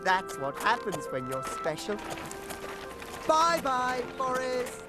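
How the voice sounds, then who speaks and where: A vain, dreamy young fir tree in a cartoon fairy tale, high and swooping in pitch. It gushes theatrically and naively about itself, at an unhurried pace, over background music. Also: female voice, very animated. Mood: elated, proud. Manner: gushing, self-absorbed, theatrical. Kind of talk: animated fairy tale acting. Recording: music behind the voice.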